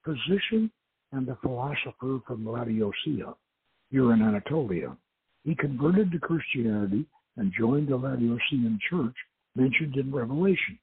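An intense, learned woman archaeologist from an occult adventure story, voiced by a man. The delivery is earnest and lecturing, lifted in pitch but very husky and gravelly. It sounds thin, as over a phone line. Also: animated, moderate pace, no echo.

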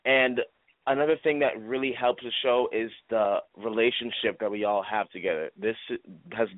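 Man, low voice, stage actor, conversational interview answers, narrow phone audio. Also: steady tone, normal speed, dry recording. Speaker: actor, lead of a stage play. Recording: thin phone-line sound.

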